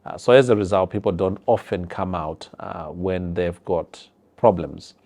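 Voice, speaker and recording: A man's voice: deep voice, fairly even intonation, unhurried, dark tone. A man with a Zimbabwean accent, a health professional giving an earnest, explanatory interview.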